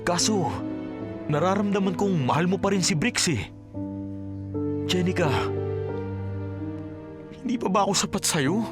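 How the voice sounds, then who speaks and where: A jealous, wounded boyfriend who loves deeply, in radio drama style. He has a Filipino accent and a fairly high voice for a man. Music runs clearly behind him. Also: lively intonation, unhurried, pauses often. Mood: hurt, jealous.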